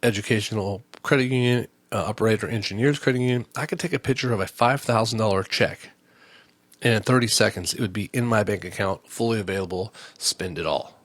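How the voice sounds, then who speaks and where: A man on a comedy podcast, telling a long story about his day in an animated, exasperated way and acting out what each person said. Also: fairly low pitch, brisk, no room sound. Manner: animated, exasperated retelling with quoted exchanges. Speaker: podcast host. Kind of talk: storytelling rant.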